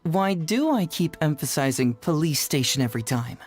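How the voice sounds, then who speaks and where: A man's voice: on the high side, expressive, normal speed. A young man playing a clever, playful, self-assured detective in a video game, articulate and teasing. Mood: playful, smug. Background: game music.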